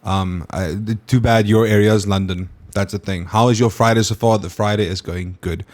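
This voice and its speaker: A man's voice: lowish voice, fairly even intonation, moderate pace. A man, hosting a casual stream chat and talking to his viewers, loose and full of swearing. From South Africa. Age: 20s. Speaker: streamer host.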